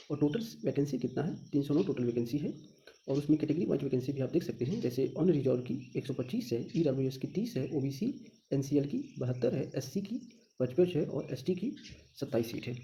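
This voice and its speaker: Higher-voiced Indian man explaining, very muted dark tone. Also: a little hiss or hum. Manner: instructional, poses questions then answers them, frequent check phrases. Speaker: online teacher explaining a job exam notification. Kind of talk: informal explainer lesson.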